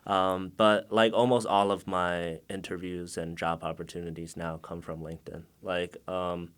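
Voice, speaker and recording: Low-voiced young man from China. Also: moderate pace, smooth. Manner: relaxed, many fillers, laughs often. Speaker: guest. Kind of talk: casual interview answers.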